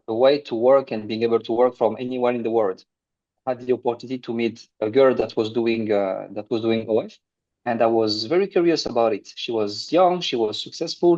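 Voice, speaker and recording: A man from France with a French accent, a guest in a casual interview, speaking earnestly with halting restarts. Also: medium pitch, darkish tone, lo-fi sound. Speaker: guest, agency owner.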